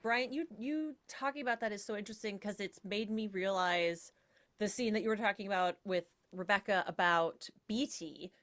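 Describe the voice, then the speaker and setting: A woman of medium pitch talks as a guest in a casual panel chat. Her delivery is informal and emphatic, looping through asides as she builds a point. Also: normal speed, fairly bright tone.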